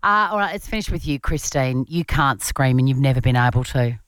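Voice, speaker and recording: Chatty Australian female radio host, deep-ish voice, animated, close dry mic. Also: brisk, smooth, dry recording. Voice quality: low register. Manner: laughs often, chatty. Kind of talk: casual radio chat.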